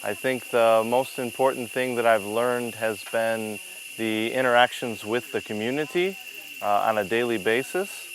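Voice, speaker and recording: A man's voice: mid-range voice, a little slow, noisy background. A man from Michigan, a student, speaking thoughtfully and hesitantly in an interview. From Michigan, United States. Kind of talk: interview to camera.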